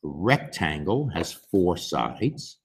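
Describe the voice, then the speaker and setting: A man voicing a gentle, encouraging woman kindergarten teacher in a storybook, patient and instructive, with a husky voice. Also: low-pitched, sing-song, slow pace, pitched below the performer's own voice, exaggerated voice.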